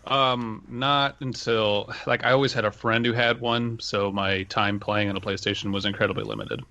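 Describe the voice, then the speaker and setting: A man's voice: on the low side, animated, normal speed, creaky, sharp tone, highs cut off. A man co-hosting a podcast in casual chat, playing along with absurd jokes. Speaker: podcast co-host.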